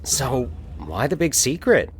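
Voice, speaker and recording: A man's voice: mid-range voice, sing-song, slow, a little hiss or hum, voice pushed up. A man playing a casual, slightly uneasy young man in an audio fiction story, understated and hesitant. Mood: teasing.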